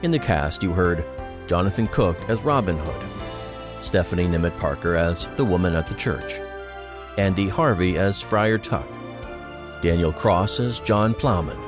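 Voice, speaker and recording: Deep-voiced male announcer over music. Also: animated, unhurried. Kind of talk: scripted credits narration. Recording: music behind the voice.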